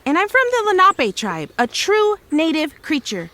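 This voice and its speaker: A woman's voice: high voice, huge pitch swings, moderate pace, bright, forceful tone, no room sound, exaggerated voice. A woman voicing a small, mischievous, indignant dwarf trickster creature in an audio drama, protesting in a whiny, theatrical way. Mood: proud, defensive.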